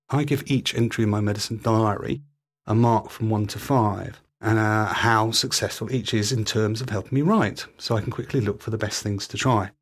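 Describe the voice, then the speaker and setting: A man's voice: on the low side, breathy edge. A British man hosting a podcast, delivering a scripted monologue with practical tips. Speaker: podcast host.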